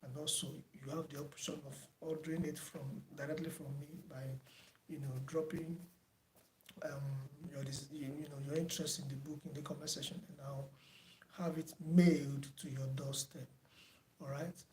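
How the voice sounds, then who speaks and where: Soft-spoken male tutor, slightly high, unhurried and repetitive, echoey room with faint background noise. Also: flat delivery. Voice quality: soft-spoken, says he does not shout. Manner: unhurried, many fillers, repeats himself. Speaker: online driving theory tutor. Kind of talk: closing remarks to a live online class.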